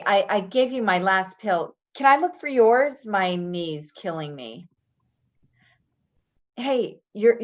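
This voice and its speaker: A woman's voice: medium pitch, dull audio, close to an everyday voice. A woman acting a tough, wheedling, manipulative caregiver in a play reading, pushy and slangy.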